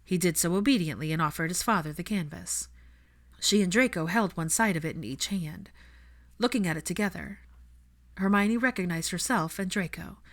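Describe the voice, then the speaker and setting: A woman reading scripted fiction aloud as an audiobook narrator. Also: lowish voice.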